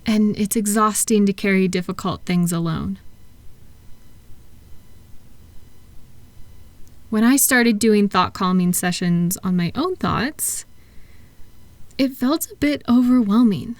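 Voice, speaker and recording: A woman playing a kind, patient therapist in a relaxation roleplay audio, speaking softly and gently in an unhurried, reassuring way. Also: mid-range voice, dry recording, natural voice. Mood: sympathetic, gentle. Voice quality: soft-spoken, gentle. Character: kind, patient female thought-calming therapist soothing a client. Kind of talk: soft-spoken roleplay relaxation audio for sleep.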